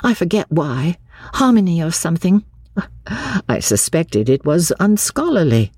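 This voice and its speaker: Woman as young storybook heroine: brittle, guarded, listless, mellow timbre, varied pitch. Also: big pitch swings, unhurried, husky, dry recording, studio quality, heavily acted.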